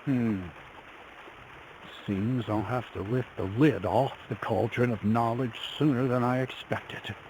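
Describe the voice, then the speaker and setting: A man's voice: mid-range voice, fairly even intonation, slow pace, a little mellow, a little hiss or hum, close to an everyday voice, rough recording. A man as an eccentric miller, secretive, grandiose, theatrical. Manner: grandiose, cagey.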